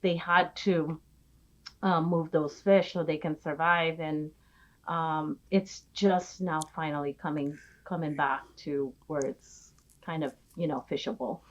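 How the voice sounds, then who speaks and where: A woman from the Philippines talking as a podcast guest, rambling warmly with many fillers. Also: low-pitched, steady tone, a little slow, lo-fi sound. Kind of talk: casual interview.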